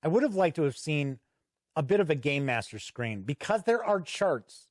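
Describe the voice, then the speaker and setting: A man's voice: a little high, sing-song, normal speed, dry recording, clean studio sound. A man hosting an unscripted tabletop game review, talking conversationally and explaining as he goes. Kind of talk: unscripted review commentary while paging through a product.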